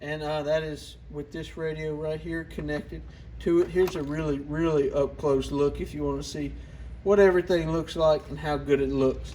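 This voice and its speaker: A man's voice: fairly high pitch, fairly even intonation, moderate pace, mellow, slight background noise, rough recording. A man hosting a casual hands-on product walkaround, talking in a plainspoken, informal, explanatory way. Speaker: product reviewer and channel host.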